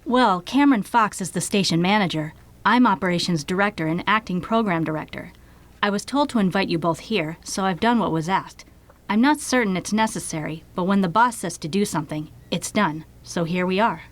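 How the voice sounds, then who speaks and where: A woman's voice: medium pitch, fairly quick, close to an everyday voice. A woman playing a curt community radio operations director, matter-of-fact and dry. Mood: curt, businesslike.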